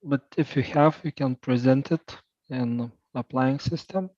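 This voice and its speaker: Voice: mid-range voice, measured pace, darkish tone, no room sound. Patient admissions representative on dull audio. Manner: patient and helpful, with many hesitations. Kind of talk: answering applicant questions.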